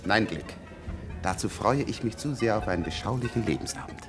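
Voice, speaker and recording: An actor in a German radio play, playing a weary, very old scientist who talks hesitantly and reflectively in a husky, gravelly voice. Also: male voice, fairly low pitch, lively intonation, fairly quick, music in the background, close to an everyday voice. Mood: resigned, wry. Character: weary, very old scientist with a longevity-treated middle-aged body.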